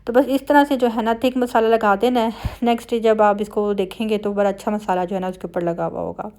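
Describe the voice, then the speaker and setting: A woman's voice: mid-range voice, warm tone. A woman with a Pakistani Punjabi accent talking in a chatty, conversational way, as in a casual family vlog voice-over. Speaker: family vlogger.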